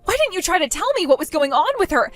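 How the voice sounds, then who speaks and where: A woman's voice: very high voice, very animated, quick pace, soft background music. A woman playing a self-centered young bride who whines and pleads with her sister, in a scripted drama. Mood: shocked.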